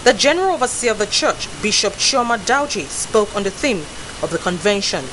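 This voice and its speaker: A woman with a Nigerian accent reading a formal television news report in a measured way. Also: medium pitch, expressive. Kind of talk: scripted narration. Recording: voice-over with clear hiss.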